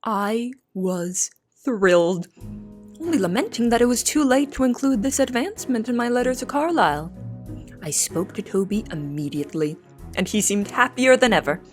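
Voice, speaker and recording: A woman's voice: a little high, expressive, moderate pace, soft background music, natural voice. A woman playing an earnest young cook in an audio drama, narrating in formal, literary wording. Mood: earnest, reflective. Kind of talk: audio drama first-person narration and dialogue.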